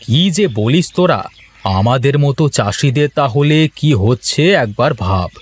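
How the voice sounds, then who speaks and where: A man's voice: medium pitch, lively intonation, measured pace, pitched below the performer's own voice. A man voicing a hearty, familiar village farmer, an elder-brother type, in a moral-story cartoon with folksy talk. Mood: chiding.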